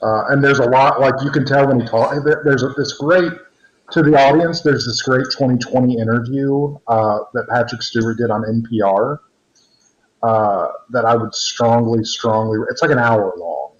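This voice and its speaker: Male podcast co-host, mid-pitched, rambling delivery, echoey muffled call audio. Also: normal speed. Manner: rambling, many restarts and fillers. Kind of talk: casual discussion over a call. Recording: roomy, dull lo-fi call audio.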